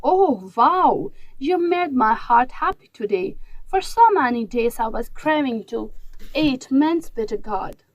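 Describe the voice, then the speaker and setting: A kindly, appreciative family man in a children's story, voiced by a woman. The voice is high and slow, recorded dry and without room sound. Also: lively intonation, no room sound, lo-fi sound, heavily acted. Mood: warm, attentive.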